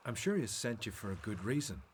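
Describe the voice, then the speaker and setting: Man acting gentle prophet-teacher, husky, widely varied pitch, assured, earnest, radio play. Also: big pitch swings, fast talker, exaggerated voice. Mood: gentle, caring. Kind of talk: radio Bible drama acting.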